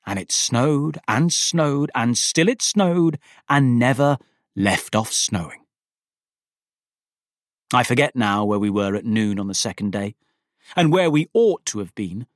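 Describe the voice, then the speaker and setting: A man reading a Victorian story aloud as an actor, with wry, self-deprecating humour and deliberate, dramatic phrasing. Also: a little high, no echo, studio quality. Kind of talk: expressive literary narration of a Victorian story.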